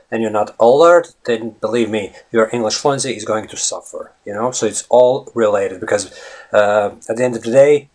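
A man in his thirties from Latvia, speaking English with a Latvian accent as a podcast host and English coach. He talks in a casual, rambling way, with fillers and frequent self-corrections. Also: medium pitch. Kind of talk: casual video monologue.

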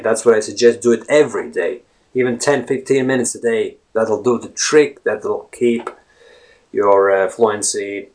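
A man with a Latvian accent, a blogger talking casually to his viewers in a rambling way, full of fillers and restarts and checking in with "right?". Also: fairly low pitch. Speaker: English-learning blogger. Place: his home office.

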